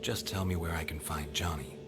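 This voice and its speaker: An actor playing a stoic, terse monster hunter in a video game, with a low gravelly voice. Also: male voice, brisk, very noisy. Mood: calm, insistent. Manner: terse, restrained, deadpan. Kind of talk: video game cutscene acting. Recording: loud music behind.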